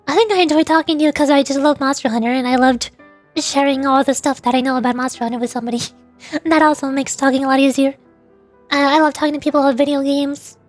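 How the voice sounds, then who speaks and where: A woman streaming to her viewers, chatting casually and thinking aloud between pauses. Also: high voice.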